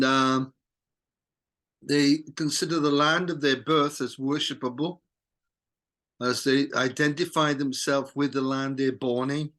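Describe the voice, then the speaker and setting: A man's voice: mid-range voice, fairly bright tone. A man leading a casual scripture study group, chatting and asking the members questions. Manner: conversational, asks the group questions.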